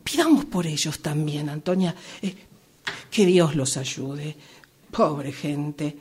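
A woman in an amateur radio drama playing a grumpy, pious retired old woman with a husky Rioplatense Argentine accent, complaining and exclaiming melodramatically. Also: low-pitched, lively intonation, slow pace, darkish tone, full character voice. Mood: disapproving.

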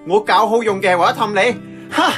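A man's voice: high voice, fast talker, fairly bright tone. A man playing a brash, defensive nephew who manages the family diner in a radio drama, speaking in an exclamatory way with a Hong Kong accent. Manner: cocky, exclamatory. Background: music.

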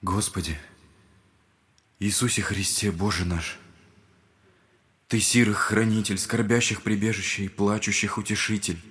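A gentle young believer in an audio drama. His voice sits fairly low and stays soft. He speaks with humble, earnest sincerity. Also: steady tone, a little slow, pauses often. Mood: prayerful. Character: gentle, devout, earnest twenty-year-old son. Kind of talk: Russian audio drama acting.

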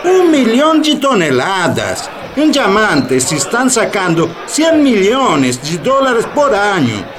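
A man playing an alarmed official who addresses congress in a declamatory, deliberate radio drama style. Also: sits high, huge pitch swings, a crowd in the background, exaggerated voice. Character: alarmed official reporting mineral smuggling to congress.

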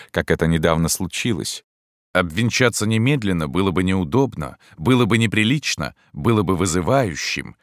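Very deep-voiced male story narrator. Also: dry recording, clean studio sound. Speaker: audiobook narrator. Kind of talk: literary story narration in first person.

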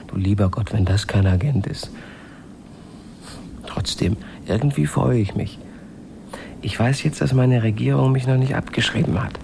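An actor playing an awkward, shy space traveller in a radio play, speaking haltingly and dryly, often as if to himself. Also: male voice, lowish voice, vocal fry, background noise. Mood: suspicious, wry. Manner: hesitant, halting, often breaking off and restarting. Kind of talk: naturalistic German radio play acting, partly as a spoken log.

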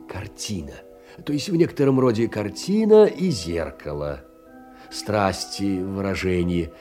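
A fussing, tender clerk, swooping pitch. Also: male voice, a little mellow. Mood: earnest. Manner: fussing, endearments and diminutives, sentimental. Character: tender, fussing, humble middle-aged clerk doting on young woman. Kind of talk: Russian stage drama, literary monologue.

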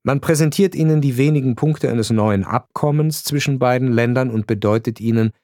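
A man narrating a radio drama in a measured, reflective way, with deliberate pauses. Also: mid-range voice, darkish tone, no echo, studio quality.

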